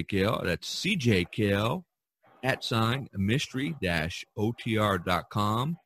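Husky American man hosting a podcast. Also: on the low side, a bit bright. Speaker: podcast host. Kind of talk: enthusiastic podcast chat.

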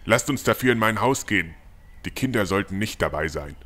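A man's voice: on the low side, animated, a little fast, a bit bright. A man playing a firm, guarded village leader in a fantasy audio drama. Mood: firm, tense.

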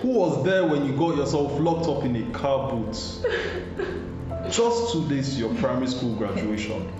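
A man in a radio drama voicing God as a patient, majestic, loving father, speaking solemnly and deliberately. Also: mid-range voice, lightly acted. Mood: firm, reminding. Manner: slow, solemn, deliberate, with rhetorical questions. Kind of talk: radio drama acting, solemn scripture-like speech. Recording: heavily echoing, muffled, music underneath.

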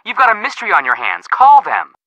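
Game role: wisecracking boy sleuth, very bright voice, lively swoops, excitable and joking. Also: high voice, fast talker, gravelly, highs cut off, slight background noise, heavily acted. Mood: teasing, insistent. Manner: breezy, joking, theatrical. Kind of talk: scripted phone banter in a mystery game.

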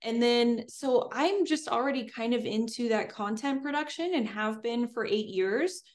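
A woman's voice: fairly high pitch, normal speed. A young woman answering interview questions in an upbeat, fluent, chatty way, recorded indoors. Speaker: guest, homesteader and streamer. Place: indoors in front of a green screen.

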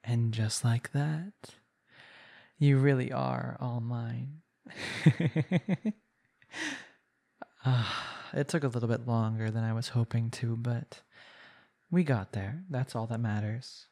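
A man performing an ASMR roleplay as a smug, coaxing young villain hypnotist, speaking in a soothing, deliberate way. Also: medium pitch, vocal fry, darkish tone. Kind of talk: ASMR roleplay acting, slow hypnotic monologue.

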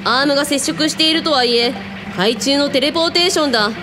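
A woman playing a commanding, teasing boss in a radio drama, giving orders in a brisk, casual way. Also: high voice, noisy background, lightly acted. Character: commanding, teasing female hotel owner with psychic powers.

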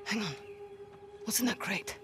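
A woman's voice: medium pitch, expressive, measured pace, over music, lightly acted. An actress voicing a tough female mercenary commander in a video game, speaking in a stern, curt way. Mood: grim. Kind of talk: video game cutscene acting.

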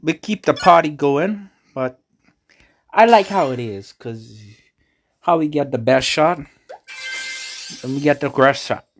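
A man's voice: fairly high pitch, a little slow, pauses often, soft background music. A man from the Bahamas with a Bahamian accent, giving casual commentary as he hosts a gaming video.

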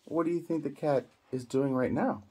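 A man's voice: a little high, moderate pace, mellow, breathy edge. A middle-aged man guiding young children through reading, explaining in short simple phrases and prompting with questions. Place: small indoor room at a table.

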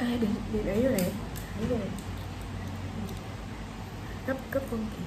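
A woman's voice: fairly high pitch, fairly quick. A young adult woman, chatting casually as the host of a coloring video. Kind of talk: casual chat. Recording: echoey room with clear ambient noise.

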